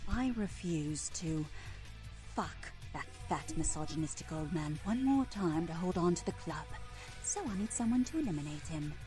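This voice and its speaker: A woman's voice: low voice, animated, normal speed, dark tone, faint music behind. A woman voicing a brash, shrewd nightclub owner in a video game, with a blunt, sardonic, foul-mouthed manner. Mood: blunt, bitter.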